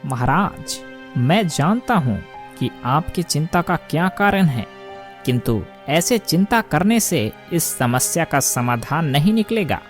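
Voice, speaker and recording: A man's voice: a little high, lively intonation, a little slow, soft background music. A man voicing a thoughtful royal minister in a cartoon story, deferential and advising. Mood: concerned.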